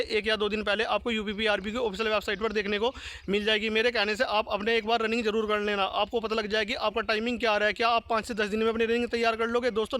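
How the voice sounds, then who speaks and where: A man's voice: sits high, pushed and bright. A young man giving insistent, repetitive advice straight to his viewers as a channel host. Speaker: YouTube exam-prep channel host. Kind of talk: direct-to-viewer advice monologue.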